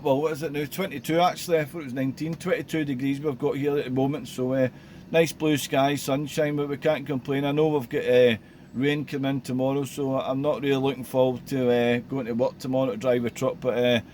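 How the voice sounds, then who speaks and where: A man's voice: medium pitch, fairly even intonation, fairly quick, darkish tone, slight background noise. A man from Glasgow chatting casually on a home radio station by the sea, friendly, with many filler sounds. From Scotland. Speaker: radio amateur.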